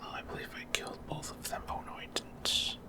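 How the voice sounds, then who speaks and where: A man giving casual ASMR video game commentary in a breathy, unvoiced whisper, explaining things without hurry. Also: a little fast. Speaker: gaming ASMR creator.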